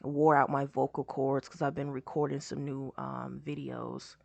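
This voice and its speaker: Hoarse young woman, low rambling voice. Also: little pitch movement, moderate pace, a little mellow, no room sound. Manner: rambling, lots of "like" and trailing off. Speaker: YouTuber reacting to a game showcase. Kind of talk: casual reaction commentary.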